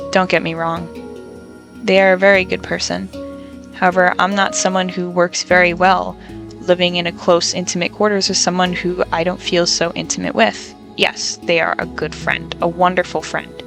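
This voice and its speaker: A young woman speaks in a low, creaky voice with a flat, even delivery. She sounds reflective and measured, pausing often as she vents in a personal monologue. Faint music plays behind her. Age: young adult. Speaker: college student.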